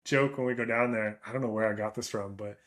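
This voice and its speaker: A man's voice: fairly low pitch, fast. A middle-aged Canadian man with a Canadian accent, a running coach talking casually with an athlete, often tagging "right?" onto his points and acting out runners' thoughts. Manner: animated, acts out runners' thoughts in quoted asides. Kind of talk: casual coaching chat over a call.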